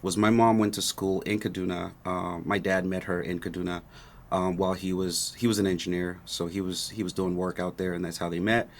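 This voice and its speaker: A middle-aged man from Nigeria, a native Yoruba speaker, explaining history calmly in an interview and often checking in with "right?". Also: low voice, fairly quick, a little hiss or hum. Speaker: guest, rapper. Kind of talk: relaxed explanatory interview talk.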